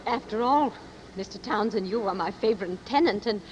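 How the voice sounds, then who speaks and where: A woman's voice: mid-range voice, brisk, a little mellow, lightly acted. An actress playing a fawning landlady who stammers and breaks off her sentences, in a 1940s radio drama. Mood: flustered. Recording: dull lo-fi old radio broadcast, faint hiss.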